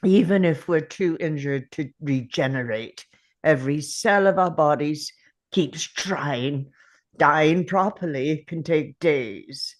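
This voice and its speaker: An actor playing a dying, grim and weary alien Time Lord in a dramatic script read, with a halting, pained delivery full of sighs. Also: mid-range voice, natural voice.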